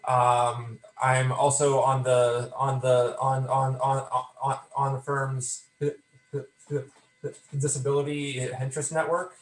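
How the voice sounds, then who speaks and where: A man in his thirties speaks slowly and haltingly, stuttering through repeated words and blocked sounds. His pitch stays flat and even. The room around him is echoey. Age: 30s. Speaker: panelist. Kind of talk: conference panel introduction.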